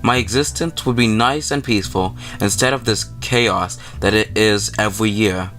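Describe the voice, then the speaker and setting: A man's voice: fairly low pitch, normal speed, fairly bright tone, natural voice. A man voicing a haughty, irritable witch girl in a visual novel, curt and scornful. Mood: scornful, annoyed. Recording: music playing behind the voice.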